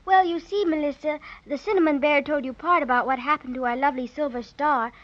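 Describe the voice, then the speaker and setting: Woman as an eager little girl, high-pitched. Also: brisk, natural voice. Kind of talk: 1930s radio acting for children. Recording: dull 1930s radio transcription.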